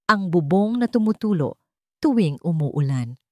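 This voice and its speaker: A woman tells a scripted romantic drama. Her voice sits a little low for a woman, and the sound is dry and close, with no room around it. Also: very animated, unhurried, no echo. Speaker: storyteller.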